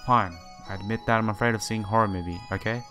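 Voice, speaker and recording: A man's voice: on the low side, steady tone, fast. A man doing a game playthrough, reading the story text aloud with casual asides and stumbling over some words. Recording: game music behind the voice.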